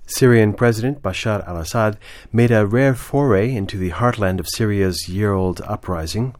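A man's voice: on the low side, darkish tone, no echo. A man presenting radio news, reading scripted international reports.

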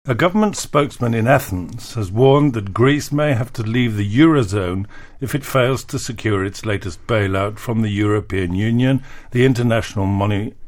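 A man reads the news for radio in a somewhat low voice. His delivery is scripted, in the style of an international bulletin. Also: moderate pace. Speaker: radio newsreader.

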